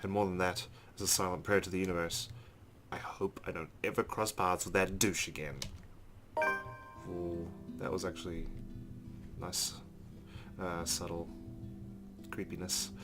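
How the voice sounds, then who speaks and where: A man's voice: deep voice, little pitch movement, measured pace, pauses often, over loud music. A man hosting a let's play, reading a visual novel's narration aloud with casual asides.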